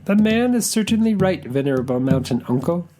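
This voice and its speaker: A stern rock spirit from a folktale, voiced by a storyteller, lecturing with indignation. The speech is formal and emphatic, swooping widely in pitch. Faint music sits underneath. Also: expressive, brisk, warm tone, lightly acted. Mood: indignant scolding.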